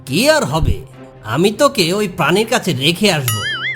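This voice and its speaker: A woman's voice: lowish voice, huge pitch swings, a little slow, faint music behind. A woman voicing a big, bossy monster in a children's cartoon, scolding and teasing yet protective. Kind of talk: children's cartoon character dialogue.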